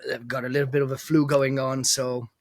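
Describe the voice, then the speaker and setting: A man's voice: mid-range voice, flat delivery, brisk, no room sound, studio quality. Raised man chatting, hesitant. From Italy. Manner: hesitant, many restarts and fillers, laughs often. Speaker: guest DJ and producer. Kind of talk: casual interview chat.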